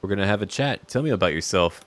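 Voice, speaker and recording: Deep-voiced man chatting through a game. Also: expressive, fast talker. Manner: thinks aloud, addresses viewers. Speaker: video game streamer. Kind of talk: casual game commentary.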